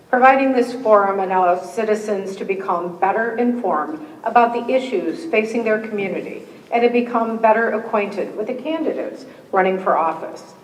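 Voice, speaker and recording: A woman, moderating a candidate forum, reading the rules with friendly joking asides and a slightly hoarse voice. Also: mid-range voice, a little hiss or hum. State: slightly hoarse, losing her voice. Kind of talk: reading scripted opening rules with casual asides. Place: meeting room with a live audience. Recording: echoey hall, distant mic.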